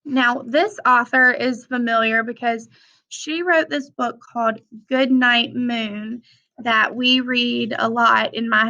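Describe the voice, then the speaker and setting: A young adult woman reading a children's picture book aloud with simple, deliberate phrasing for young listeners. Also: fairly high pitch, bright, forceful tone. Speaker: read-aloud presenter for children. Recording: dull, lo-fi sound.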